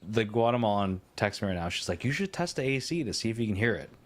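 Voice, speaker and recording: A man's voice: lowish voice, sing-song, fast talker. Man rambling through a casual chat. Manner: rambling storyteller, laughs often, stumbles and restarts. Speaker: podcast co-host.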